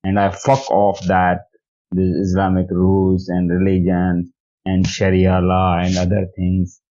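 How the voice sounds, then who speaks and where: A man with a Pakistani accent giving a personal testimony in halting, repetitive English, searching for words. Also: sits low, monotone, mellow. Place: recorded voicemail message. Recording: dull lo-fi voice message.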